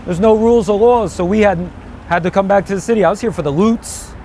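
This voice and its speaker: A man's voice: high voice, fast, noisy background. A male comedian guest in a casual street chat, telling rambling, profane stories. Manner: rambling storyteller with swearing. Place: outdoors on a city street.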